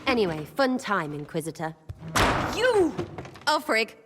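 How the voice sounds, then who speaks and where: An actress voicing a cheeky, scrappy young prankster in a video game, slangy, impish and irreverent. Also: female voice, a little high, wildly swooping pitch, unhurried, heavy background noise.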